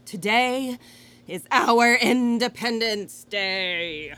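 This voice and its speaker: A woman's voice: a little high, very slow, bright, forceful tone, exaggerated voice. An actress playing a hopeful, teasing young woman in a comedic audio drama, talking in a casual, emphatic way. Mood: earnest.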